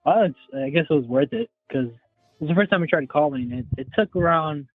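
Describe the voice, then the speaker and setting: A man's voice: a little high, lively intonation, a little fast, no room sound, faint music behind. Young man on a tinny phone line. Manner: rambling, hedging with "like". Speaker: caller. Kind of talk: casual phone call.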